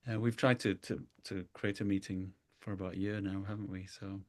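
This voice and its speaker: A host introducing a guest on a video call, talking in a halting, hesitant way. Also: deep voice, flat delivery, darkish tone. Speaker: interview host. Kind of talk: conversational interview.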